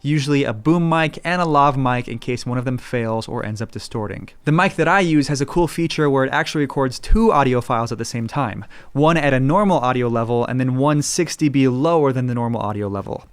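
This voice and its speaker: A man, host of a filmmaking channel, reading a scripted tutorial in a steady, instructive way. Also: medium pitch. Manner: clear, steady, instructive delivery. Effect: EQ-filtered demo voice: muffled, phone-like, thin.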